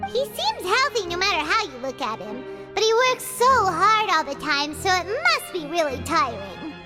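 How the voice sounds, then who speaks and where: A woman voicing a tiny, chatty, excitable fairy-like companion in an anime game, squeaky and childlike, exclaiming often. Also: moderate pace. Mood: curious. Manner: refers to self in third person, exclamatory. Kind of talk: English anime game voice acting. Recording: game dialogue over background music.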